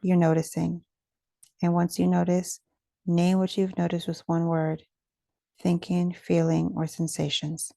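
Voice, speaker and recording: A woman leading a guided meditation in a gentle, soothing, measured way, with pauses between phrases. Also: on the low side, level tone, gravelly, dry recording.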